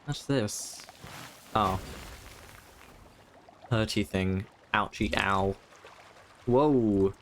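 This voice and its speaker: A man's voice: on the low side, very slow, long pauses, slight background noise. A man doing casual live video game commentary, thinking aloud with playful exclamations. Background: game music.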